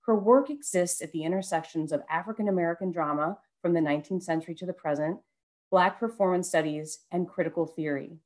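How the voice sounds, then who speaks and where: Lowish-voiced woman introducing a speaker. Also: brisk, a little mellow. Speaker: panel moderator. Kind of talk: formal scripted speaker introduction. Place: room indoors, on a video call. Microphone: headset with boom mic.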